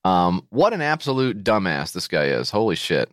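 An American man co-hosting a comedy podcast, sarcastic and jokey, reading an ad in mock-excited bursts. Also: low-pitched, moderate pace, no room sound, clean studio sound. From United States. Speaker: podcast co-host. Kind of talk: comedic podcast banter and read-out ad.

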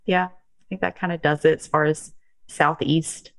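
A woman in her thirties with a Southern US accent, chatting as a guest on a podcast. Her voice sits a little low, and she talks in an easy, rambling way, full of "um" and "like". The sound is close and dry, with no room echo. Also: flat delivery, normal speed, creaky. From southern United States. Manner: chatty, laughs often, lots of um and like. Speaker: guest, strength coach. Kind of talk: casual podcast interview.

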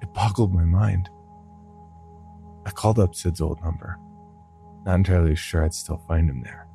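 Deep, dark-voiced man narrating horror. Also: normal speed, creaky, soft background music. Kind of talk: scripted first-person horror story narration.

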